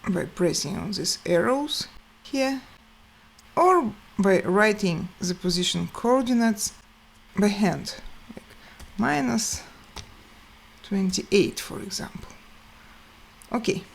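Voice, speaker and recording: Slow-talking, lively-pitched woman giving a tutorial. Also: vocal fry, husky. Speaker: tutorial host. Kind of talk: step-by-step software tutorial narration.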